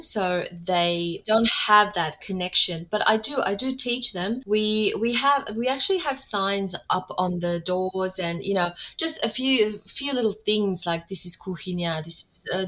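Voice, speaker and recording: A woman's voice: medium pitch, pushed and bright, low-quality recording. A woman from Slovenia with a Slovenian accent, a native Slovenian speaker, talking about her own experience as an interview guest in a conversational way. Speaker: podcast guest, former radio broadcaster. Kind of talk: conversational interview, personal recollection.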